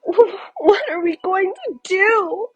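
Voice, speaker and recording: A woman's voice: extremely high pitch, animated, extremely slow, no room sound, highs cut off, voice pushed up, full character voice. A woman voicing a distraught, anxious mother in a children's storybook, fretful and at her wits' end. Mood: wailing despair. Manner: wailing, anxious.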